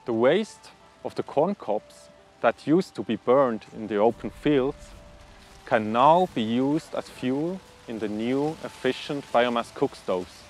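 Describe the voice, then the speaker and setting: Measured man explaining a climate project. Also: mid-range voice, lively intonation, soft background music. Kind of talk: documentary interview.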